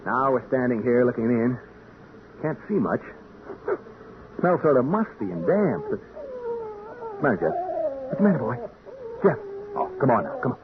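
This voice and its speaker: A man's voice: medium pitch, sing-song, normal speed, pauses often, lightly acted. A man acting as a wry, skeptical radio reporter on a ghost hunt, chatty and joking, in old-time radio drama style. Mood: hushed narrating. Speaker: radio broadcaster. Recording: tinny, noisy old-time radio recording.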